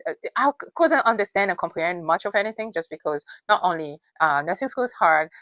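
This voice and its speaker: A woman's voice: fairly low pitch, expressive, fast talker, a bit bright, slight background noise. A woman, a nurse whose first language is not English, giving emphatic casual advice with many fillers and repeated points.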